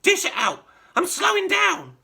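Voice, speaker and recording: Screechy ancient grandma, wild and boastful, shrill and lively in pitch. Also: female voice, measured pace, gravelly, exaggerated voice. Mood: demanding. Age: older. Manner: shouting, cackling, bossy.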